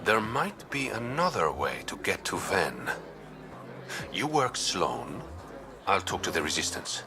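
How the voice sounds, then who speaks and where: A man playing a sly, charming smuggler and informant in a video game, smooth and teasing. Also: deep voice, huge pitch swings, measured pace, over music, heavily acted. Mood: confiding. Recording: clear background vehicle and ambient noise.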